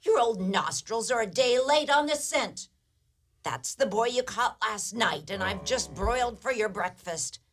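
An actress playing a scornful old ogre's wife in a radio play, mocking and scolding in a dry manner. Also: female voice, a little high, animated, measured pace, a bit bright, slight background noise. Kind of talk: live radio play acting.

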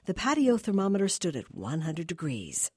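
Female story narrator: low, husky register, deliberate dramatic phrasing in scripted prose. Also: huge pitch swings, darkish tone, no echo, clean studio sound. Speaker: reader of a short story.

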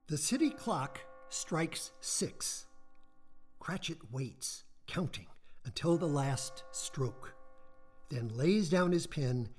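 An actor narrating a Victorian ghost tale for a radio play, in a measured, theatrical storytelling manner. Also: male voice, on the high side, lively intonation, husky, soft background music, exaggerated voice. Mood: atmospheric.

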